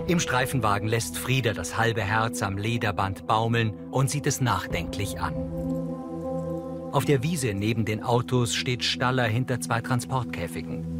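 Male narrator over prominent music. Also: medium pitch. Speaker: audio description narrator. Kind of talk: scripted audio description narration.

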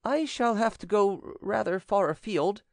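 A man's voice: high voice, big pitch swings, normal speed, a little mellow, no room sound, clean studio sound, voice pushed up. A man voicing a timid, fearful servant in an audiobook, pleading and obsequious with a nervous manner. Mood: nervous, evasive. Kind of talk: audiobook character acting.

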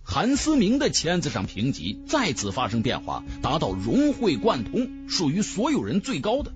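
Expressive male storyteller with sweeping pitch. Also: moderate pace. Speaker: audiobook narrator. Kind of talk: scripted fantasy novel narration. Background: background music.

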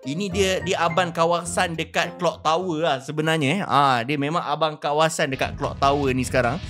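Bright, excitable young Malaysian man gaming. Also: fairly high pitch, normal speed, soft background music. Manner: exclamatory, playful, repeats words for emphasis. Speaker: gaming video host. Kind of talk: excited roleplay game commentary.

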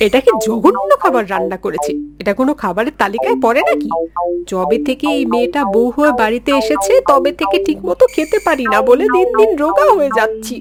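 A woman's voice: high-pitched, sing-song, a little fast, loud music behind the voice. A woman voicing a quarrelsome, self-pitying mother-in-law in a cartoon, with a scolding, whiny manner. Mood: indignant, self-pitying. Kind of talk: cartoon voice acting.

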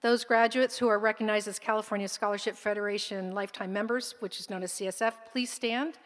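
A woman's voice: mid-range voice, steady tone. Woman announcing honors in a big hall. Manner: formal, deliberate announcing. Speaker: school administrator announcing honors. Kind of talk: ceremony announcements. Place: large concert hall stage. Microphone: podium microphone. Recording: long hall reverb, faint crowd.

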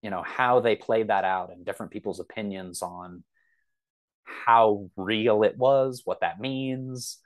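Low-voiced man talking casually. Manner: halting, enthusiastic, thinking aloud. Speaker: podcast guest, author. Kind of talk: casual discussion.

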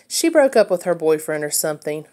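A woman's voice: sits low, very animated, brisk, dark tone. A woman voicing an annoyed, scornful young boy in a children's book, with blunt, exasperated complaining.

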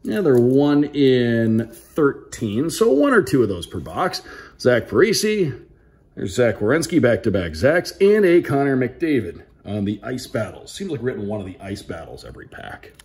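A man's voice: mid-range voice, big pitch swings, normal speed, a little mellow. A man giving casual, upbeat commentary on an unboxing, reading out names to viewers like a broadcaster. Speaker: radio sports play-by-play broadcaster.

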